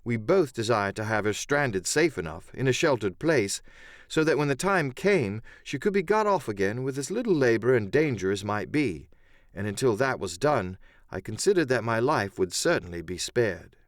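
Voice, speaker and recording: A man's voice: medium pitch, big pitch swings, moderate pace, no room sound, clean studio sound. A man reading a sea adventure story as a dramatic first-person audiobook narrator.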